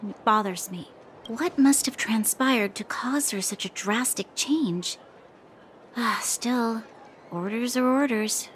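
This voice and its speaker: An actress playing an earnest, dutiful young female officer in a video game, speaking in a measured, formal, reflective way. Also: on the high side, a little hiss or hum. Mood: questioning. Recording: faint music underneath.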